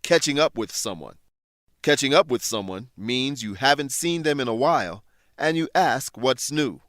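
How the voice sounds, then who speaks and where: A man hosting and narrating a scripted language lesson, explaining things in a clear, measured teacher's manner. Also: mid-range voice, lively intonation, a bit bright, dry recording, studio quality. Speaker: host and English teacher.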